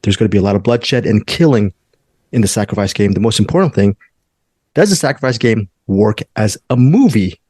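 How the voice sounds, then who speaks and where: A man's voice: fairly low pitch, lively intonation, brisk, darkish tone, husky, no room sound. Man hosting a casual, dry-sounding film chat. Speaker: host of a film review show. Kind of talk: casual movie review chat.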